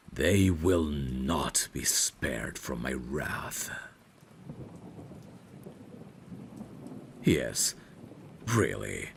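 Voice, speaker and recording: Man acting a very deep, gravelly vampire butler. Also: heavily acted. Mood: protective, firm. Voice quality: gravelly, breathy. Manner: formal, courtly, deliberate phrasing. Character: devoted, courteous male vampire butler, tender yet menacing. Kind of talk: intimate ASMR audio roleplay acting.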